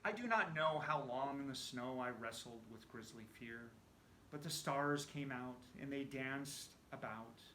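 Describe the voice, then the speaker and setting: A man giving an animated, theatrical recitation of a narrative poem, often dropping to a hush as phrases end. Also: medium pitch, measured pace, echoey room, slight background noise. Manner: animated, theatrical storytelling with hushed phrase endings. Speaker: amateur poetry reciter.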